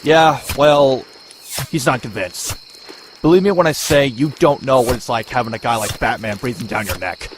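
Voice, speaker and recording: A man playing a brash, sarcastic young male antihero in an animated comic adventure. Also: mid-range voice, normal speed, background noise, natural voice. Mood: sardonic, defensive. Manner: sarcastic, sardonic.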